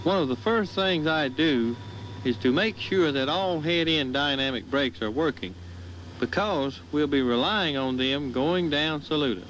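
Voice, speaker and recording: Railroad foreman explaining procedure, faint rumble. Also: expressive, measured pace, a bit bright, highs cut off. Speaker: railroad road foreman of engines. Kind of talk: scripted instructional narration. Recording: faint vehicle noise behind.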